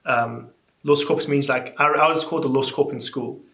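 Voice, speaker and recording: Animated young man, echoey room. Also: moderate pace. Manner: animated, incredulous, rising pitch when exasperated. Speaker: YouTuber. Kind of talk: casual reaction vlog, reading comments aloud.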